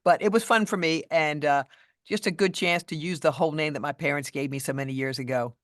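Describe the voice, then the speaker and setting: Woman with a deeper voice. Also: dry recording, clean studio sound. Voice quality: deeper voice than many women. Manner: measured, thoughtful, with restarts and fillers. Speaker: podcast guest, voice coach. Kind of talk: casual interview conversation.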